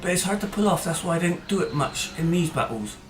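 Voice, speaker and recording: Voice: medium pitch, animated, quick pace, noisy background. A gaming channel host giving casual, rambling commentary over gameplay, full of hesitant fillers and restarts, his voice tiring as he goes. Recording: roomy echo, faint music behind.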